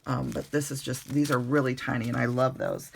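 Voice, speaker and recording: A woman recovering from the flu, her voice hoarse, chatting casually through a card-making tutorial at a desk in her bedroom with lots of ums and uhs. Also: a bass voice, steady tone, brisk, a little hiss or hum. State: hoarse, getting over the flu.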